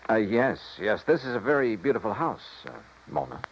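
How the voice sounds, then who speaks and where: A man's voice: medium pitch, expressive, normal speed, vocal fry, slight background noise. Hard-boiled male radio investigator, American, wry and suspicious, 1950s noir style, muffled vintage sound. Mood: hesitant, polite. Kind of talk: 1950s radio drama acting. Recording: dull old radio recording, faint music.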